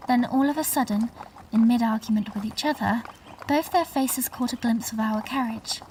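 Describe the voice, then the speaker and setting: A woman playing a gentle young princess who narrates her memories in a scripted audio drama, measured in delivery. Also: on the high side, slight background noise, natural voice, poor audio. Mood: wistful, reflective. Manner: measured, storytelling.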